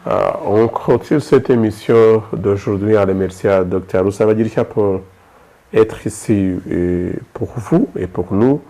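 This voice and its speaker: A man's voice: lowish voice, measured pace, creaky, dark tone. A man from Rwanda with a Rwandan accent, hosting an interview in a hesitant way, with many fillers. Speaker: host.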